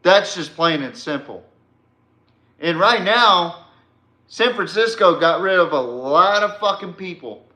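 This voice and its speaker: A man's voice: high voice, animated, a little slow, pushed and bright, roomy sound. An American man hosting a sports podcast, ranting casually in a blunt, emphatic and profane way. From Texas, United States. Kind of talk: casual opinionated sports rant.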